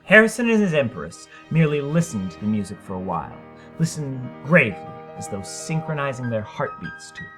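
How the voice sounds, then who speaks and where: A man's voice: mid-range voice, sing-song, fairly bright tone, soft background music. A man narrating a short story as a dramatic reading, with theatrical, emphatic delivery. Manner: theatrical, emphatic, deliberate phrasing.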